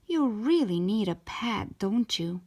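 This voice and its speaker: A woman's voice: mid-range voice, very animated, takes it slowly, warm tone. A woman voicing a firm, exasperated but caring mother in a children's storybook. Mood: soothing. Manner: firm, emphatic.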